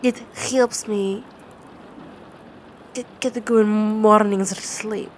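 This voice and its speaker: A woman playing a tender, dominant vampire girlfriend in an ASMR bedtime roleplay, speaking in a halting, soothing way. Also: medium pitch, steady tone. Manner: slow, halting, soothing delivery with repeated words. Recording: clearly noisy ambient background.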